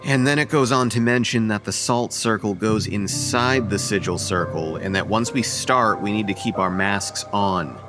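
Bossy young student organizer, male actor, lowish voice, brisk and sardonic, horror radio play, clear music underneath. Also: close to an everyday voice. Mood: matter-of-fact. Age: young adult. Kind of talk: radio horror drama acting.

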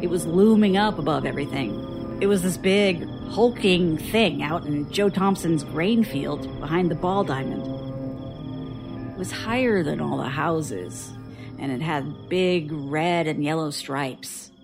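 An actress playing a weary, guarded survivor giving dry, reluctant testimony in an audio drama. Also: female voice, deep voice, a little slow, over music. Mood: uneasy, reflective. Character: guarded, weary woman survivor recounting childhood strangeness.

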